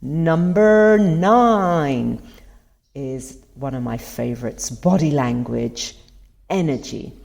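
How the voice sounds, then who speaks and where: A woman's voice: sits low, animated, takes it slowly, vocal fry, darkish tone. A woman hosting a podcast, talking earnestly through tips on communication and stressing key words. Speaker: podcast host. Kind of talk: motivational talk over video clips.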